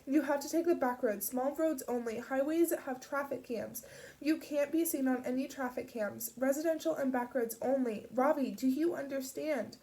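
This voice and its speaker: A woman voicing a frightened teenage girl who is choked up and sniffling, her voice rasping at the edges. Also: sits high, expressive, a little fast, voice pushed up, low-quality recording. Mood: urgent.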